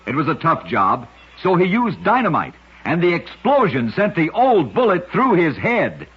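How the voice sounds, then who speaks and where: A man hosting an old-time radio show, narrating short scripted oddity tales in a husky, rough-edged voice. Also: fairly high pitch. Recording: dull, lo-fi old radio recording.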